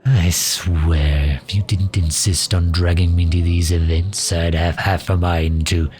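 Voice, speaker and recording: A man playing a sardonic, loyal fantasy mage advisor in an intimate ASMR roleplay, dry and grumbling, with a husky, breathy delivery. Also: sits low, steady tone, a little slow, some chatter behind. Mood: exasperated, dry. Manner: dry, grumbling, sharp-tongued, with hesitant breaks.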